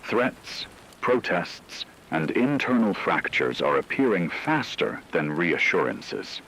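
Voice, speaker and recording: A deep-voiced, solemn male news anchor acted by a man reads a fictional emergency radio bulletin. His delivery is measured and formal but moves noticeably in pitch. A faint hiss sits behind the voice. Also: very animated, a bit bright, exaggerated voice, poor audio. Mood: grave, urgent. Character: grave male radio anchor reporting a looming war.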